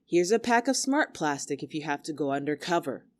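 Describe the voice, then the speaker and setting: A woman's voice: low-pitched, very animated, a little fast. A woman playing a domineering, scornful young female squad leader in a dramatic argument, biting, sarcastic and commanding. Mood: dismissive. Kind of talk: dramatic two-character argument performance.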